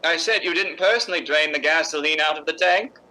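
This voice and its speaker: An actor playing an exasperated, sarcastic theatre character in a radio drama, with a biting manner. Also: male voice, fairly high pitch, quick pace, sharp tone, poor audio. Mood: insistent. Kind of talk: old-time radio drama acting.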